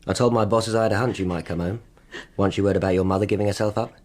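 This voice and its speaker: A man playing a dry, knowing English police sergeant in an audio drama, husky and formally sardonic. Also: on the low side, fairly even intonation, very fast, darkish tone, close to an everyday voice. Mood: dry, satisfied.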